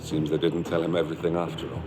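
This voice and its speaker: An actor playing a wary, determined field operative in a video game, terse and matter-of-fact with a dry edge. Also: male voice, a bass voice, flat delivery, fast talker, heavy background noise. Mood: grim. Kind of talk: cinematic game dialogue. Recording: loud music behind the voice.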